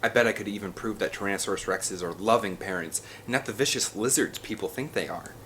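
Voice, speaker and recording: A man's voice: lowish voice, quick pace, fairly bright tone, a little hiss or hum, close to an everyday voice, low-quality recording. Man voicing a headstrong woman scientist, insistent. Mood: defiant, assured. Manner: insistent, emphatic. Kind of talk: audiobook character dialogue.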